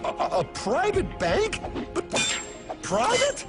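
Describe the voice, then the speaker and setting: A man's voice: extremely high pitch, huge pitch swings, slow, creaky, full character voice. An actor playing a naive, bewildered cartoon everyman who talks in a stammering, incredulous whine. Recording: music behind the voice.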